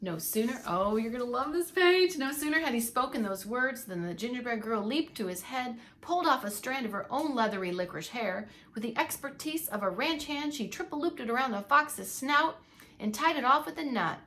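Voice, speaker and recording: A woman narrating a children's story aloud like a preschool teacher, with animated, dramatic emphasis. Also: on the high side, moderate pace.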